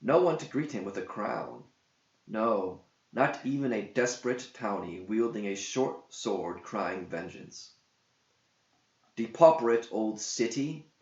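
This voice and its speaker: A man's voice: on the low side, animated, slow, darkish tone. A man reading a verse epic aloud as a theatrical, declamatory storyteller. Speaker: narrator, author reading own verse epic. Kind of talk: dramatic scripted verse narration. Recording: dull roomy echoing home recording.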